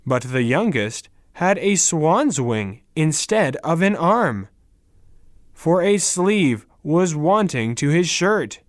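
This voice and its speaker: A man narrating a fairy tale for language learners in a deliberate, carefully enunciated way, with pauses between short phrases. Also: fairly high pitch, sing-song, no room sound, studio quality. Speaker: story narrator.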